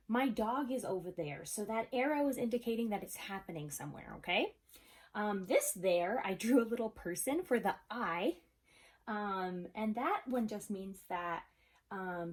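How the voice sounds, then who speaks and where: A woman teaching a third-grade class in a recorded lesson, casual and encouraging, checking in with her pupils as if they could answer. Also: medium pitch. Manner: casual, checks in often with okay. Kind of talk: recorded video lesson for children.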